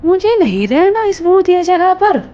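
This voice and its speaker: A woman's voice: extremely high pitch, very animated, moderate pace. A woman playing a protective village aunt, firm and insistent, in a horror storybook tale. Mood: fearful protest. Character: stern, protective village aunt warning her niece. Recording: dull audio under loud music.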